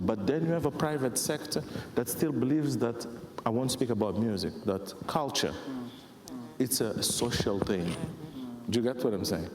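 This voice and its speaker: Brief: young Mozambican man, lively panel speaker, reverberant hall, faint noise. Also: mid-range voice. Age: young adult. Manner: animated, repeatedly asks if listeners follow. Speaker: panelist, festival founder and musician. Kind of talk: panel discussion. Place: conference hall.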